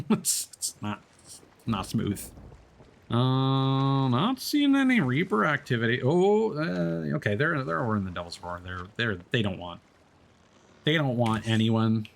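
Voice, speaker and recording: A man's voice: mid-range voice, very animated, a little slow, gravelly. A man streaming a game, talking casually with lots of fillers and restarts. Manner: rambling, many fillers and restarts. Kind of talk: casual gameplay commentary.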